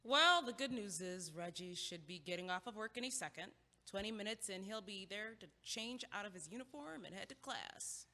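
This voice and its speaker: A woman's voice: medium pitch, lively intonation, normal speed, bright, forceful tone. A woman playing a blunt, driven young college student in an audio play, with a sardonic, emphatic delivery. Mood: wry, teasing. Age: young adult. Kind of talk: stage-style audio play dialogue. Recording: echoey hall with long reverb.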